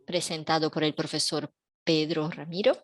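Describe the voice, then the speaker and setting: A woman interpreting a panel talk in a measured, even way over a video call. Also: sits low. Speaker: simultaneous interpreter.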